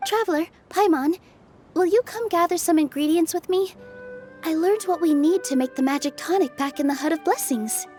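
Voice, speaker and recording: A woman playing a gentle, earnest and thoughtful young dancer in a fairy-tale game. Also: very high-pitched, moderate pace, soft background music. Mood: bright, helpful. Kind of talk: English game dub voice acting.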